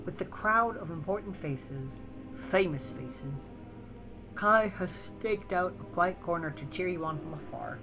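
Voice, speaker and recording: Female visual novel reader, lowish pitch, expressive storytelling delivery, prominent music under the voice. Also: measured pace, poor audio. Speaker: narrator and player reading a visual novel. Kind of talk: expressive second-person story reading. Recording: loud music over the voice. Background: music louder than usual.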